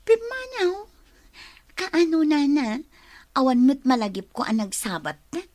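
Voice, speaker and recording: An evasive young Filipino mother in a radio drama, played by an actress. Her voice sits high, and she speaks as someone keeping a secret. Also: expressive, unhurried, a little mellow, slight background noise. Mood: feigned surprise, indignant.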